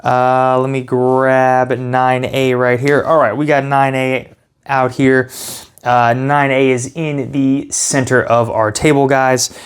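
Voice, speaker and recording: A man's voice: medium pitch, level tone, slow pace, clean tone. A man hosting a tabletop game tutorial in a casual style, talking viewers through each step and reading out story passages. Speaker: host teaching a board game.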